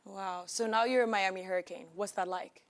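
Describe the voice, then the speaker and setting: A woman's voice: mid-range voice, steady tone, brisk. A young woman hosting a sports interview, reacting with enthusiasm. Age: young adult. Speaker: interview host. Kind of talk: scripted interview.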